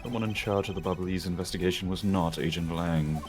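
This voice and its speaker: A man's voice: low voice, fairly even intonation, fairly quick, over music, lightly acted. A man voicing a composed, sly prosecutor with dry confidence in a comedic game fan dub. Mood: smug, dry. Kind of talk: fan dub voice acting with improvised banter.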